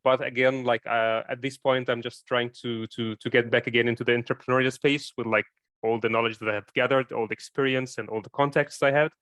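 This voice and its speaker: A man's voice: medium pitch, flat delivery, brisk, a bit bright, no room sound. A man from Egypt, a startup founder, introducing himself in a live online Q&A and talking hesitantly, with many fillers and restarts.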